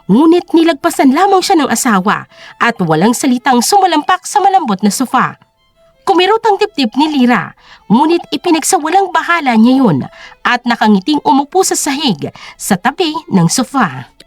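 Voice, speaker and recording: High-voiced woman narrating a drama. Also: big pitch swings, moderate pace, no room sound, soft background music. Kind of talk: scripted dramatic story narration.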